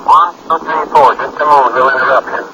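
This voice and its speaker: A man giving a live radio eyewitness report from a rooftop, urgent and halting with pauses. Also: mid-range voice, steady tone. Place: rooftop outdoors. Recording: lo-fi 1940s radio broadcast with noise.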